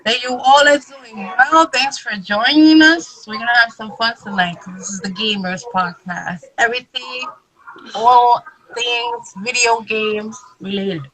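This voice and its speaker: A woman's voice: a little high, unhurried, fairly bright tone, poor audio. A woman hosting a casual livestream chat in an informal, slangy way. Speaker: podcast host. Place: remote video call.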